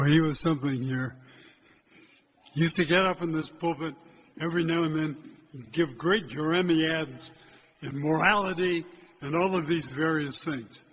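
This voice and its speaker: An older priest preaches to his parish in a loud, direct voice that sits a little high for a man. His words ring out through a church with a long echo, and a faint hiss sits under the recording. He talks plainly and does not keep his voice low. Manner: loud, hard time keeping his voice low. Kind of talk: church exhortation. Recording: echoey church from a distance.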